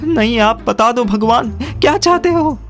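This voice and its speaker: Woman as high-pitched exasperated comic young man. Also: expressive, unhurried, faint music behind. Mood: melodramatic despair. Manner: melodramatic complaining.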